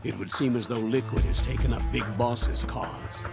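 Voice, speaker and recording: A man voicing a grave, older military commander giving a measured briefing in a video game. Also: medium pitch, steady tone, creaky, over loud music, natural voice.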